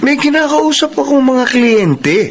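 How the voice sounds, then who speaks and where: Evasive Filipino husband, noisy surroundings. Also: male voice, extremely high pitch, very animated, a little slow, exaggerated voice. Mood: evasive, reassuring. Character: evasive husband, a realty agent secretly smitten and yearning. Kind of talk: Filipino radio drama acting. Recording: clear background ambience noise.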